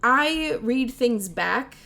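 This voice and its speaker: A woman's voice: a little high, expressive, extremely slow, gravelly, sharp tone. A young woman with a Midwestern accent, chatting casually on a livestream, rambling and self-deprecating, laughing often. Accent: Midwestern American. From American Midwest. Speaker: streamer.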